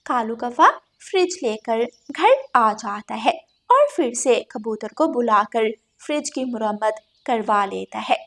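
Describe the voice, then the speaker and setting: A woman's voice: on the high side, lively intonation, slow, hardly any pauses, fairly bright tone. A woman narrating a scripted children's moral story.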